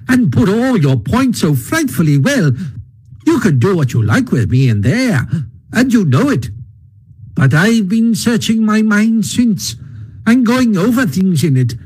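A man's voice: high-pitched, darkish tone, breathy edge, pitched above the performer's own voice, heavily acted, poor audio. A man voicing a defiant, boastful toad in a storybook reading, with an impatient, gushing and emphatic manner. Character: excitable, defiant, boastful male toad of leisure.

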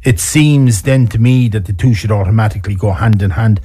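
Husky Irish male interviewer. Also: lowish voice, brisk, dark tone. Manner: probing, hesitant questions. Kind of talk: conversational interview.

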